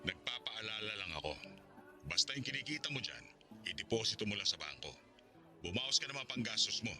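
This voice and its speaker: A controlling Filipino boyfriend in a radio drama, curt and bossy over a phone line. His voice is lowish, very piercing and quick, with music behind. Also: very animated, sharp tone, exaggerated voice. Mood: nagging, controlling. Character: controlling, possessive older boyfriend, a father calling from abroad.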